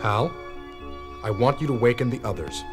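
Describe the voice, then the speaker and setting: A man's voice: medium pitch, animated, moderate pace, natural voice. A man playing a grave, resolute astronaut, terse and serious, in a children's read-along audio drama. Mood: firm. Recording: music under the voice.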